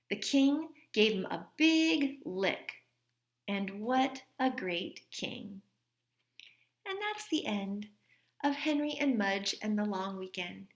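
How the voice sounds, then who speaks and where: Higher-voiced woman reading a children's story. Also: echoey room. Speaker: teacher reading a storybook aloud. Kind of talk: children's story read-aloud.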